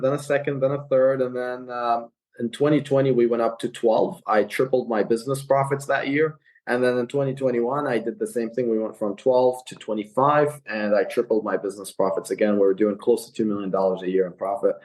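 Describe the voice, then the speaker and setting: A young man from Iraq tells his story as a podcast guest. He talks earnestly, often pausing on fillers and restarting his sentences, in a voice of ordinary pitch. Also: a little mellow, poor audio. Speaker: guest, real estate investor. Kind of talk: casual podcast interview. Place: California.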